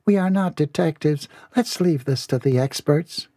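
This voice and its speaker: A man's voice: on the high side, moderate pace, dark tone, dry recording, studio quality, voice pushed up. A man voicing a fretful, timid, motherly woman cook in a storybook. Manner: fretful, soothing.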